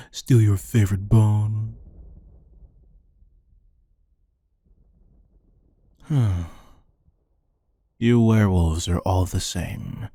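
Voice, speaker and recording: A man acts out a cold-blooded killer interrogating a captive in an ASMR roleplay. His voice is deep and mellow, with a slow, deadpan delivery. He taunts with dry, menacing amusement. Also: dry recording. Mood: mocking. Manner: deadpan, taunting, unhurried with musing pauses. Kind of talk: scripted ASMR roleplay monologue.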